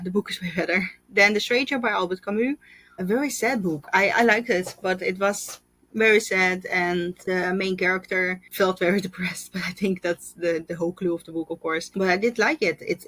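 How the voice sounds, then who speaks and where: A woman in her thirties with a fairly low voice chats through her year of reading. She talks thoughtfully, stopping often with small fillers and a quick laugh. The sound is close and dry, with no room echo. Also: a bit bright. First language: Russian. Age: 30s. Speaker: book vlogger. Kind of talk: casual reading wrap-up chat.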